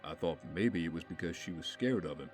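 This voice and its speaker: A somber, troubled man, played by an actor in an audio drama. His voice is very deep and serious as a hero's confidant. Faint music runs underneath. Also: animated, brisk. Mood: worried, hopeful.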